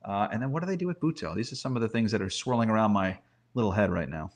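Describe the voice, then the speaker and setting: A man's voice: on the low side, expressive, fast. A man in a casual sports discussion as a baseball analyst, conversational and thinking aloud, often posing questions to himself. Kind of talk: casual sports talk, roster preview.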